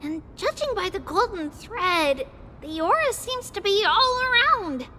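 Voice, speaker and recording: A woman voicing a bubbly, excitable, childlike little girl in an anime-style game. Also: very high-pitched, unhurried, slight background noise, exaggerated voice. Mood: earnest. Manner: excitable, third-person childlike talk. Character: bubbly childlike little girl who speaks of herself by name.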